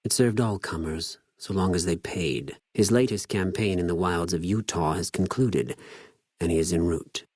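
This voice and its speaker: An actor playing a cold, zealous, contemptuous legion spy in a video game, speaking formally with measured condescension. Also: male voice, deep voice, a little mellow, husky, no room sound, studio quality. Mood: contemptuous menace. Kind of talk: scripted video game character dialogue.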